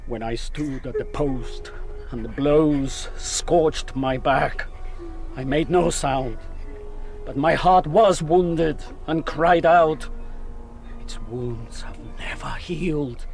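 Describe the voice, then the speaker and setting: An actor playing an embittered, proud Huron warrior chief in a radio drama, speaking in a solemn, declamatory way. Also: male voice, a little high, darkish tone, heavily acted. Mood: brooding. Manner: solemn, declamatory, speaks of himself in third person.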